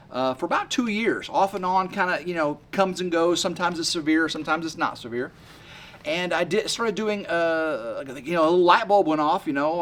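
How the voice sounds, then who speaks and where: A man in his fifties rambling excitedly to camera outdoors by his work shed, full of fillers and restarts. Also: a little high. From West Tennessee. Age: 50s. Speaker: homesteading vlogger. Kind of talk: rambling casual vlog to camera.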